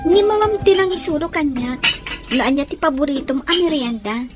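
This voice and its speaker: Radio drama schoolgirl played by a woman: sweet, eager, coy, very high-pitched. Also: expressive, brisk, over loud music. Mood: friendly, coaxing. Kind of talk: radio drama acting in Ilocano.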